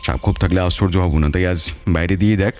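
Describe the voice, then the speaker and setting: An actor playing a calm, commanding detective and mentor in an audio story, speaking in a measured, authoritative way. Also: male voice, low-pitched, slight background noise, lightly acted. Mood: cautioning.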